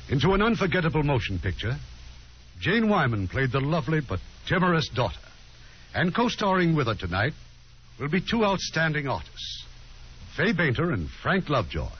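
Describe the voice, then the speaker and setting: A man's voice: on the high side, big pitch swings, normal speed. A man giving a formal, scripted introduction as host of a 1950s radio theater broadcast. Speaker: producer and host. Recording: muffled old radio broadcast, faint music.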